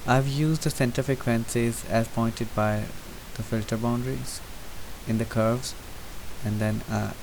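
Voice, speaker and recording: A man giving an unscripted, methodical software tutorial, explaining each step as he goes. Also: medium pitch, a little slow, creaky. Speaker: presenter demonstrating software. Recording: hissy recording with steady background noise. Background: hiss.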